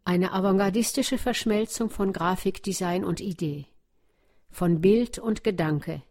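A woman's voice: medium pitch, unhurried, a little mellow. A woman narrating a scripted documentary for radio.

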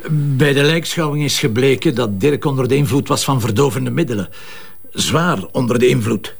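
An actor playing a measured, persistent police commissioner in a Flemish crime drama, questioning formally with a Flemish accent. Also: male voice, medium pitch, creaky, slightly rough. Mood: grave, insistent.

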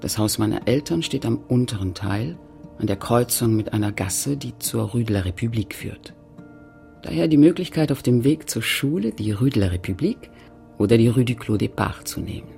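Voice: mid-range voice, animated, normal speed, creaky, mellow, soft background music. An actor playing a terse, factual narrator of a post-war French town in a literary radio play, with clipped statements. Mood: detached, deliberate.

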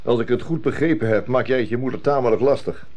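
An actor playing an old-fashioned gentleman suitor in a 1970s radio drama, courtly and condescending. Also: mid-range voice, fast, lightly acted. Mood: stern, patronizing. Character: suave, patronizing, old-fashioned gentleman suitor, stern stepfather-to-be.

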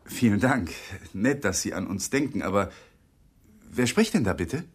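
An actor playing a troubled, polite married lawyer in a radio play, courteous and halting, often sinking into murmured brooding. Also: male voice, on the low side, big pitch swings, husky, exaggerated voice. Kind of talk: 1980s German radio play acting.

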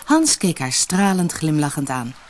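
Woman narrator, fairy-tale audiobook, expressive, low-pitched, very varied intonation. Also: unhurried. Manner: expressive, dramatic storytelling.

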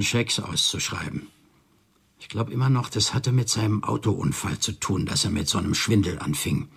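A man's voice: on the low side, creaky, slightly rough, full character voice. An actor playing an older farmer father in a radio drama, speaking in a weary, resigned manner.

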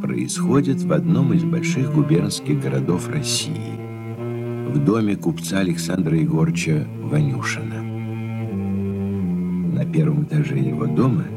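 A man's voice: low voice, big pitch swings, moderate pace. A man reading stage directions as narrator of a radio play, in a scripted, dramatic style. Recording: loud music under the voice.

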